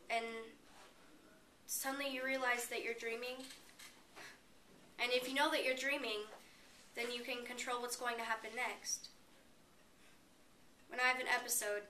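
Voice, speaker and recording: A woman's voice: fairly high pitch, pushed and bright. A woman performing a dramatic stage monologue as a despairing, bitter young college student, emotive and confessional. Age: young adult. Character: despairing suicidal college girl, bitter and pleading. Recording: roomy, distant mic, faint noise.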